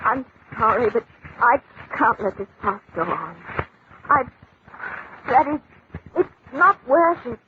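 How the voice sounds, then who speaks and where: High-voiced society girl, old radio. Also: very animated, slow pace, pauses often. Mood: embarrassed, hesitant. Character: charming, gushing young society woman, politely demure. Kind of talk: 1940s radio acting. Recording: tinny, noisy old radio recording.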